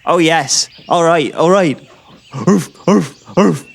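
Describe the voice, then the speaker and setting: A man's voice: on the high side, slow, gravelly, exaggerated voice. A man voicing a fearful, theatrical talking dog in a comedic audio drama, full of pompous protest. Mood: eager.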